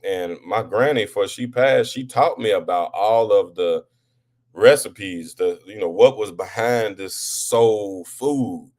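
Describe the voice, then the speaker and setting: Rambling man, casual monologue. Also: slow. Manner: rambling, repeats words and restarts, rises loud and high when impassioned. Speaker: live talk show host.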